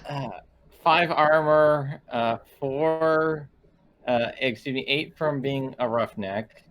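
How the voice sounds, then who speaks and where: A man chatting casually as a game player, halting and often repeating his words as he reasons. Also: medium pitch, dull audio. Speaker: player in a tabletop roleplaying game. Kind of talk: casual chat.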